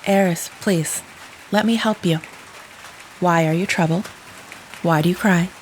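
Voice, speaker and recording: A woman's voice: on the low side, measured pace, close to an everyday voice, rough recording. Casting: actress playing formal, literal-minded woman caregiver, stilted and exact diction, audio drama, faint rain behind. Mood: earnest, pleading. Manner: stilted, precise, clinical phrasing without contractions. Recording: steady rain noise behind the voice.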